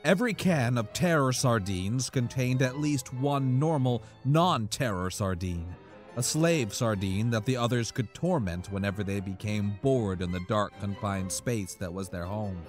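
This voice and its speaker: A man's voice: on the low side, measured pace, soft background music. A man narrating a scripted comic horror story in a dramatic, profane storytelling manner.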